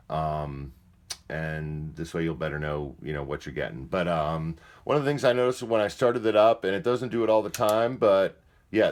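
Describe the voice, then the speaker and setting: A man giving a casual, unscripted product demonstration in a plain-spoken, candid and somewhat hesitant way. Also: sits low. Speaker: presenter of a used tape deck demonstration. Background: music playing from the tape deck at times.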